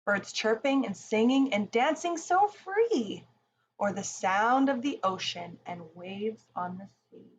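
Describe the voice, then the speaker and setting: A woman reads a rhyming picture book to children at an unhurried pace. Her fairly high voice moves expressively up and down, with faint noise behind it. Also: lo-fi sound. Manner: deliberate, expressive storytelling in rhyme.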